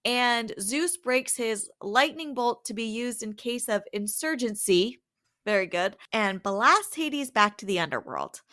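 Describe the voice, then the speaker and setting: A woman's voice: on the high side, pushed and bright. A woman co-hosting a podcast, giving a casual, conversational recap in an informal, wryly amused way. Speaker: podcast co-host.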